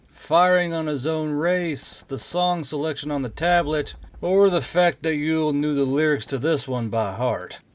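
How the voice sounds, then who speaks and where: A man's voice: medium pitch, no room sound. A man reading scripted military fantasy narration in a dramatic storytelling style. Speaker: storyteller reading own channel's audiobook.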